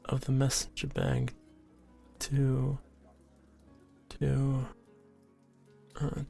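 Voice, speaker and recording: A meek little servant creature in an audio drama. The voice is husky and creaky, slow and halting, stammering apologetically. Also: male voice, mid-range voice, completely flat delivery, dark tone, soft background music, heavily acted. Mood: guilty, nervous.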